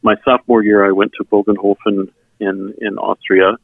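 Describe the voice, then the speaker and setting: A middle-aged man from South Texas whose first language is German, telling his personal story in an earnest, halting way as an interview guest. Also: lowish voice, level tone, husky, no echo. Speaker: interview guest, member services volunteer. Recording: tinny phone-like sound, band-limited.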